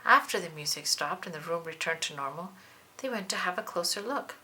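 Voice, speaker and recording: A woman's voice: fairly low pitch, animated, fairly quick, bright, forceful tone, slight background noise. A woman reading a children's storybook aloud, narrating expressively and dramatically. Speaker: children's book reader.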